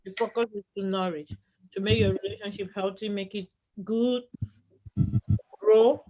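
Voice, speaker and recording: A woman's voice: medium pitch, fairly even intonation, normal speed, mellow. A woman hosting a couples panel at a live event, playfully putting questions and echoing answers back with exclamations. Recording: noisy live event recording with clicks.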